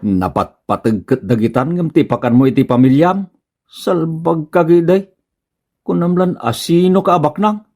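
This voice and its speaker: Woman acting an exasperated, low-voiced village neighbor. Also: moderate pace, mellow. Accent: Filipino, Ilocano. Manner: scolding, exclaiming. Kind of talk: comedy radio drama acting.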